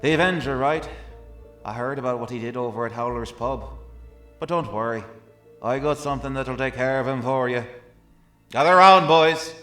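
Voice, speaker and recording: A man's voice: medium pitch, normal speed, pauses often, sharp tone, natural voice. Man acting a smug arms dealer, echoey. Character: smug, salesman-like male underworld arms dealer. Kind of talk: radio drama acting. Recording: echoey, music underneath.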